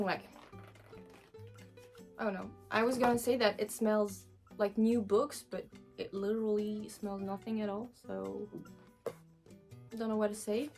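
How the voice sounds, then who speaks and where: A young woman whose first language is French, chatting casually and cheerfully with lots of fillers as an art vlogger. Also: mid-range voice. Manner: bubbly, chatty, with many fillers and restarts. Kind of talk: casual chat over crafting.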